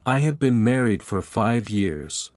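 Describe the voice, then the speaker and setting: A man reading one side of a scripted English lesson dialogue, speaking in simple sentences with deliberate, even spacing. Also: fairly low pitch, dry recording, clean studio sound. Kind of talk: slow scripted practice dialogue.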